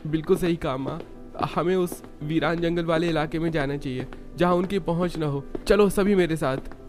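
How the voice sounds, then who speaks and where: A man's voice: a little high, moderate pace, a little mellow, faint music behind, natural voice. Urgent, earnest young man, acted out by a man. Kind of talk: dramatized Hindi audio story acting.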